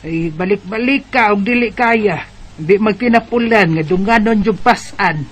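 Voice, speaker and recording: A woman playing a fussy, excitable village mother who scolds and exclaims, in a Bisaya radio drama with a Cebuano accent. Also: medium pitch, unhurried, breathy edge. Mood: fussy, chiding. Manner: exclamatory, nagging.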